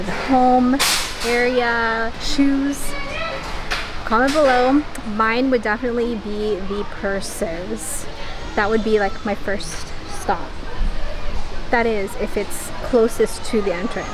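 A woman doing a casual shopping vlog, chatting and thinking aloud as she browses, recorded out in noisy public stores. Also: fairly high pitch. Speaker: shopping vlogger. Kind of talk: casual shop-with-me vlog chat. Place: inside busy stores and a mall. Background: shop and mall background noise.

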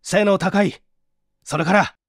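Man playing an earnest, high-pitched questioning schoolteacher. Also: takes it slowly, no room sound, clean studio sound. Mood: stern. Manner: insistent, probing questions.

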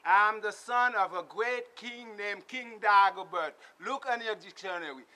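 High, bright-voiced Haitian man ranting. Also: measured pace. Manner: emphatic and repetitive, swings between shouting and muttering. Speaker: political vlogger. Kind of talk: impassioned political rant to camera. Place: small indoor space with blue tarp walls. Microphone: camera close up in front of him.

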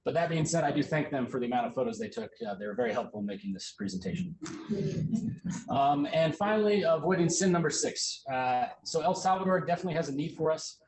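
A young American man in his thirties presents a grand rounds talk to fellow surgeons at a rapid clip. His delivery is relaxed and conversational, often filled with ums and uhs. A faint low rumble sits under the recording. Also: low-quality recording. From United States. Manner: quick, casual lecturing with frequent ums and uhs. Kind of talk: academic slide presentation.

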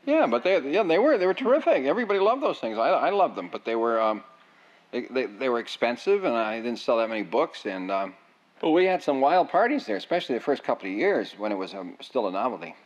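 A man's voice: medium pitch, sing-song, fast. An older man reminiscing in an oral history interview, rambling, with frequent restarts. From United States. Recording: dull lo-fi sound.

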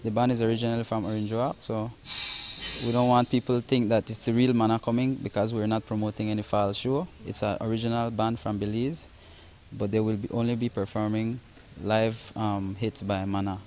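A man's voice: fairly low pitch, level tone, a little fast, darkish tone, a little hiss or hum. A young man, a concert promoter, talking casually in an interview, with many fillers and restarts, recorded on a handheld mic in a large open hall. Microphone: handheld reporter mic.

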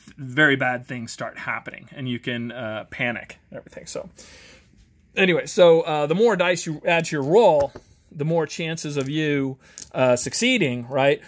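A man reviewing tabletop games in a casual, off-the-cuff way, halting and often interrupting himself as he rambles along. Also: on the high side. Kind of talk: casual off-the-cuff product walkthrough. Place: home game garage, indoors. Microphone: handheld camera, held by the speaker.

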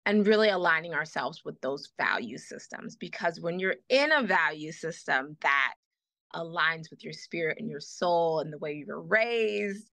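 A woman's voice: low-pitched, expressive, moderate pace, creaky, fairly bright tone, no room sound. A woman guest on a podcast, speaking with passion and repeating phrases for emphasis. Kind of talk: earnest advocacy in conversation.

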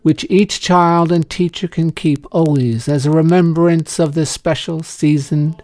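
Man reading as a sincere, grateful child, slowly. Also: on the high side, fairly even intonation, a little mellow, no room sound, close to an everyday voice. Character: grateful young schoolchild writing a sincere letter. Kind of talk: storybook letter reading.

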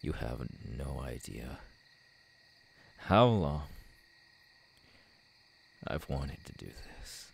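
Guy doing an unhinged bitter killer for audio roleplay, low husky male voice, slow n menacing, really swingy. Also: sing-song, heavily acted. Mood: menacing, unstable. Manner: halting, brooding, bursting into anguished shouts. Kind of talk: ASMR audio roleplay acting.

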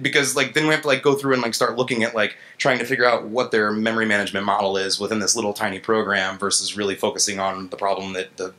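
A man in his thirties speaking informally at a stand mic as a conference speaker, halting and conversational, often checking in with "right?". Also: on the low side, pushed and bright. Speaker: conference speaker, software engineer. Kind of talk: informal lightning talk, answering questions. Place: gallery event space, indoors, on stage. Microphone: handheld-style vocal mic on a stand.

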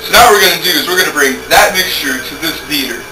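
A young man with a slightly high voice runs a homemade cooking show from his kitchen, cracking offhand jokes while he mixes and kneads. The sound comes from a camera mic a few feet away and carries a clear hiss of background noise. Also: fast. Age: young adult. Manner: jokey, offhand, talks while working. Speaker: home cooking show host. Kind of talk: casual cooking demonstration. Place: home kitchen, indoors. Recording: noisy home-video camera audio.

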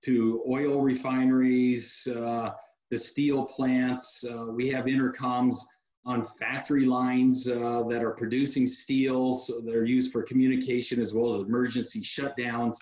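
A man's voice: medium pitch, measured pace. Brief: man in his forties, industry guest, easygoing product explainer, roomy echo, dull webcam sound. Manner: relaxed, practical, sales-savvy explainer, many uh fillers. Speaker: security technology company guest. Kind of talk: video call interview, explaining products. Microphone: video call webcam mic. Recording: echoey room over a dull video call.

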